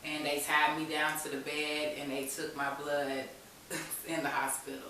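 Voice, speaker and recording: A woman's voice: sits low, level tone, a little slow, bright, forceful tone. A woman speaking as an interview guest, telling her own story candidly and informally in conversation. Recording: very echoey room, distant mic, faint hiss.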